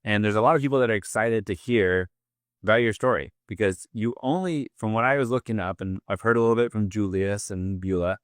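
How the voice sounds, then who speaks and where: A man's voice: fairly low pitch, a little fast, no room sound, clean studio sound. A man hosting an interview, asking questions in an upbeat, encouraging way. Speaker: interviewer, host.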